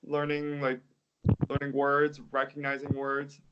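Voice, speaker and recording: A young man talks through his answers in an easygoing, unhurried way, sprinkling in "like" and "I guess." His voice sits at a middle pitch. The audio is a bit dull and lo-fi, like a video call. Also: noisy background. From United States. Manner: relaxed, hedging with "like" and "I guess". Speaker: interview guest, English teacher. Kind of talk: casual interview answers.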